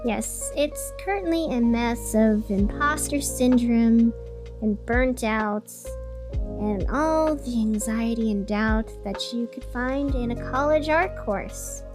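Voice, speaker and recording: A woman's voice: fairly high pitch, slow. A woman playing a wry, chatty small-town radio host, narrating casually in a scripted audio drama over music. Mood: wry reporting.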